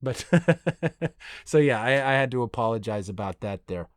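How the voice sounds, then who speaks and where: A man's voice: mid-range voice, animated, measured pace, no room sound. Casual man hosting a podcast, dry close sound. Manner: self-deprecating, informal with casual swearing. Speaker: solo podcast host. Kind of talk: casual rambling monologue, conversational.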